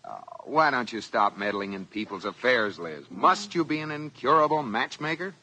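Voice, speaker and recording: An actor playing a dry, exasperated banker husband in 1940s radio comedy style, with sarcastic, deadpan comebacks. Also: male voice, mid-range voice, moderate pace, a little hiss or hum, poor audio. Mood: sardonic. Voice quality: sardonic, deadpan.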